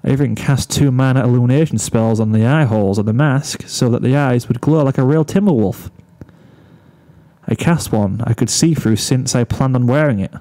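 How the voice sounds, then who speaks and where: A man's voice: mid-range voice, normal speed, lightly acted, low-quality recording. A man voicing a playful, affectionate young mare pony with a coy, teasing manner in a storybook reading. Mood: casual pride. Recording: soft guitar music behind.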